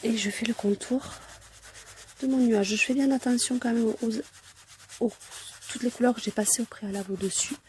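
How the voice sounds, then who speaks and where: A woman with a cold, speaking softly and hoarsely, often dropping to a near whisper as she calmly explains a craft tutorial step by step. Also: on the high side, normal speed, long pauses, slight background noise. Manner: soft-spoken, often near a whisper, hesitant.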